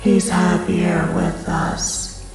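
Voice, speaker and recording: Woman doing a very slow, obsessive inner voice. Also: fairly low pitch, little pitch movement, vocal fry, music in the background, heavily acted. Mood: obsessive, menacing. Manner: chanting, insistent short phrases. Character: obsessive inner voice of female mafia boss, coldly protective.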